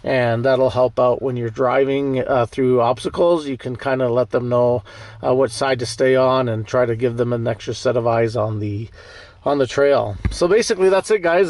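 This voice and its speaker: A man hosting a casual off-road vehicle vlog, talking through gear in a plainspoken, conversational way. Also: mid-range voice. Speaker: host of an off-road vehicle channel. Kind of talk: casual product demo vlog.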